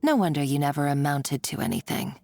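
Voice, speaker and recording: Gloating, swooping low-voiced female villain. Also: big pitch swings, brisk, creaky, dark tone, heavily acted. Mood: mocking glee. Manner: theatrical, taunting, sardonic. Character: gloating, mocking female villain with a scheming streak. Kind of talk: dramatic video game voice acting. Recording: game dialogue over background music.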